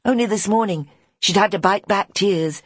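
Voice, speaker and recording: Husky woman narrating expressively. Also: medium pitch, dry recording, studio quality. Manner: measured, expressive storytelling. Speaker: audiobook narrator. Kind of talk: scripted dramatic narration.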